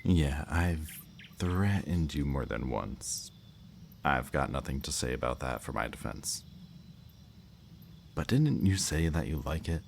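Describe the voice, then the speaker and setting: A man's voice: extremely low pitch, animated, vocal fry, heavily acted. A man playing a smug, politely menacing kidnapper in an intimate ASMR roleplay, speaking to the listener in a teasing, mock-courteous way. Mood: calm menace. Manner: slow, teasing, mock-courteous, lingering over words. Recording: faint background noise, chirping night crickets.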